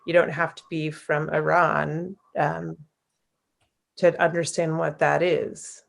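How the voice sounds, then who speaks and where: Low, slow, gravelly woman, thoughtful. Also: little pitch movement. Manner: thoughtful, reflective. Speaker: panel moderator. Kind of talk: panel conversation.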